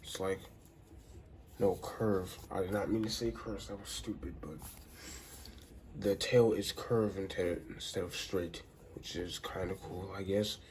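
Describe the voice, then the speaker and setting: A man with a somewhat low voice, audibly congested and stuffy. He talks in a loose, unscripted way, often breaking off mid-sentence and starting again. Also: fairly even intonation, a little mellow, a little hiss or hum. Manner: rambling, halting, restarts sentences often. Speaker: toy reviewer. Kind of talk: casual unscripted review.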